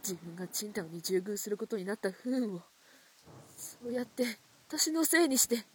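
An actress playing a frightened yet defiant young woman in a radio drama, polite, fragile and breathy. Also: mid-range voice, lively intonation, moderate pace, slight background noise, close to an everyday voice. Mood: reproachful.

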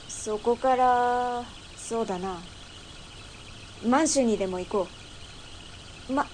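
An actress as a tough gang boss with a fairly high voice. The speech is rough, cocky and masculine in wording, acted for a radio drama, over faint ambient noise. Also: female voice, a little mellow, close to an everyday voice. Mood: resolute, gruffly fond. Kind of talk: Japanese audio drama acting.

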